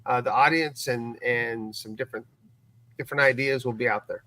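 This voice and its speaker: A man as a guest in a casual live recap chat, thinking aloud in a halting way and repeating words. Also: mid-range voice, steady tone, pushed and bright, rough recording.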